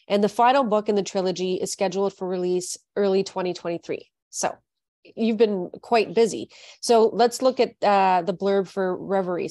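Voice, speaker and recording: A woman's voice: medium pitch, dark tone, no room sound, clean studio sound. A woman from Canada hosting a podcast interview, chatting casually, laughing often and restarting her sentences. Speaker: podcast host.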